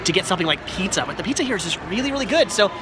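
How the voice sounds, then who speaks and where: A man's voice: high-pitched, very animated, rapid-fire. A man in his thirties with a cold, sounding congested and nasal, rambling cheerfully in a casual vlog at a busy mall arcade. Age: 30s. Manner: rambling, upbeat, repeats words. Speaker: vlogger. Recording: loud crowd and noise behind.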